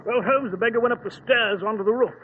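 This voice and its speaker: A man's voice: extremely high pitch, fast. An actor playing a bluff, loyal middle-aged male doctor in a hearty, exclaiming manner, in 1940s radio acting. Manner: hearty, exclaiming, old-fashioned English. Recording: tinny lo-fi old radio broadcast with faint hiss.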